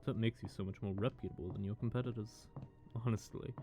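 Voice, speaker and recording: A man playing a smug, sardonic vampire who taunts a captive in a teasing, drawling, conversational monologue, with a gravelly voice. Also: fairly low pitch, steady tone, quick pace, lightly acted. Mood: polished, charming. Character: smug, sardonic male vampire gloating over a captive hunter. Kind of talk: scripted audio roleplay monologue addressed to the listener. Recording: background music under the voice.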